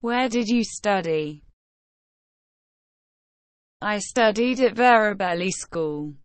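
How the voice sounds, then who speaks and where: Woman, slow careful phrase reading, dry close sound, lesson narration. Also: mid-range voice, animated, clean tone, no echo. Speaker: English phrase reader.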